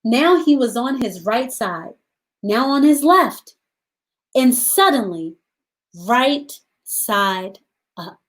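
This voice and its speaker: A woman hosting a children's storytime, reading aloud deliberately in short phrases and putting questions to her young listeners. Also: a little high, expressive.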